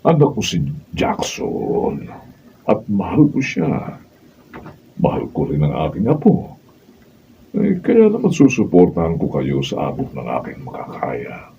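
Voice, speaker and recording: A man's voice: low voice, wildly swooping pitch, unhurried, vocal fry, long pauses, lo-fi sound, slight background noise, exaggerated voice. A Filipino man playing an older, indulgent father and grandfather in a radio drama, with thin, distant sound. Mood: tender, resigned.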